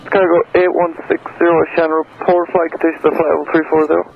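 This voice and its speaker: A man's voice: fairly high pitch, flat delivery, racing, vocal fry. A man working as an air traffic controller, giving clipped, procedural, businesslike instructions over aviation radio. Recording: noisy, narrow-band air traffic radio.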